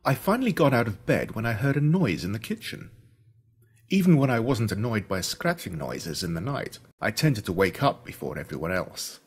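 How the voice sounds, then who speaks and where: Audiobook narrator, male, Australian, lowish register, dramatic and varied in delivery. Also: normal speed, breathy edge. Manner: expressive, dramatic storytelling. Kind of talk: scripted first-person story narration.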